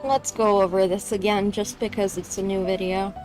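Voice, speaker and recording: A woman streamer giving relaxed, casual commentary while she plays a video game. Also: medium pitch, low-quality recording. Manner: relaxed, unhurried asides to viewers. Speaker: gaming streamer. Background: game music.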